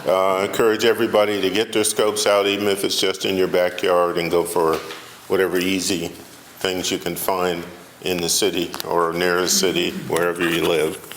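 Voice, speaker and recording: A man hosting a club meeting, encouraging members in a steady, plain way. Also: sits low, moderate pace. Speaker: meeting host. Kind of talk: casual meeting chat. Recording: echoey hall with faint noise.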